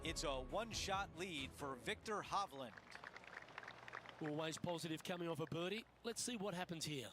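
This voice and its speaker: A man voicing an upbeat golf anchor in a video game, calling play in a polished, measured broadcast style. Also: on the high side, a bit bright, soft background music, natural voice. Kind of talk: broadcast sports commentary. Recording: crowd ambience and background noise. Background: golf game crowd and ambience.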